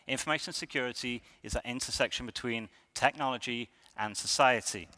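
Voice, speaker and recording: Bright-voiced man speaking in a hall. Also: medium pitch. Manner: conversational, many ums and restarts. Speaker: conference speaker. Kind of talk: conference talk. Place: lecture hall. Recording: reverberant hall.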